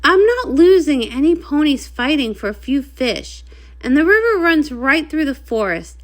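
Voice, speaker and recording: A woman's voice: sits high, big pitch swings, moderate pace, voice pushed up. A woman voicing a commanding, no-nonsense female pony guard captain in a storybook, brusque and skeptical. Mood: stern.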